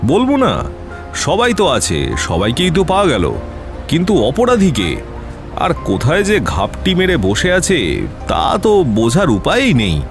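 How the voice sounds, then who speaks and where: A man's voice: a little high, huge pitch swings, unhurried, over music. A man acting an eager, easily exasperated junior detective sidekick in an audio drama, with a grumbling manner.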